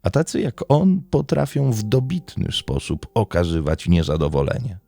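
A man narrating a scripted true-crime story in a measured storytelling manner with dramatic pauses. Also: on the low side, big pitch swings, vocal fry, warm tone.

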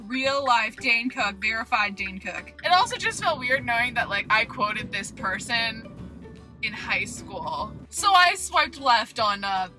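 Bright, high-voiced young woman vlogging. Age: 20s. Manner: animated, exclamatory, playful. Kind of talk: casual solo vlog chat. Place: inside a car, driving.

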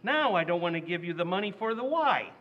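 A man acting a loud, indignant, stingy working-class father in an old-time radio comedy recreation. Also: sits high, big pitch swings, a little fast, highs cut off. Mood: blustering. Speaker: radio actor.

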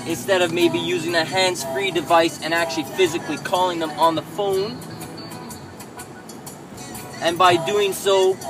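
Man, thirties, high voice, lecturing rant from the driver's seat, dash cam, music under it. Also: rough recording. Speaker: vlogger. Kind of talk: ranting vlog while driving. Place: inside a moving car. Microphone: camera mounted on the dashboard.

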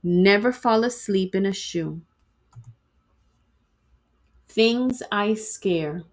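A woman reading a children's storybook aloud in an expressive, dramatic way, like a teacher to students. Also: medium pitch, extremely slow.

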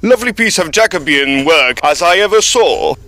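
A bright-voiced workman. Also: sits high, animated, normal speed. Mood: matter-of-fact. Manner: blunt, respectful. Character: male tradesman, respectful, matter-of-fact, sure of his craft. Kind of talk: audio drama acting.

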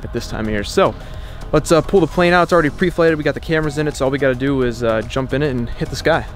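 A man's voice: medium pitch, racing, faint music behind. A young man in his twenties from Ohio, chatting casually in a vlog at an airfield and in a small plane's cockpit, with many "uh" fillers. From Ohio, USA. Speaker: aviation vlogger. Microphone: headset mic in the cockpit.

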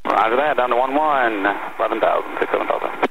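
A man's voice: medium pitch, fast talker, creaky. A man piloting a private plane, reading back instructions to air traffic control over a cockpit radio. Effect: cockpit radio transmission. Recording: very noisy, tinny cockpit radio.

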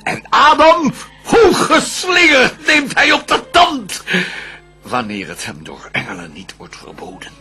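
An actor playing a proud, scheming fallen angel out for revenge, declaiming raspy verse in a radio drama. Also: male voice, extremely high pitch, wildly swooping pitch, measured pace, sharp tone, faint music behind, heavily acted, low-quality recording. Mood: grand, excited. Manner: declamatory, grandiose.

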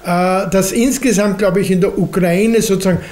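A man in his seventies with an Austrian accent, answering questions as a lecturer in a deliberate way, often adding questioning tags. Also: sits high, fairly even intonation, darkish tone. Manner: deliberate, hedging, often adds a questioning "nicht?". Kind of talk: unscripted answer after a lecture. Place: library lecture room, at a lectern. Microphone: lectern microphone.

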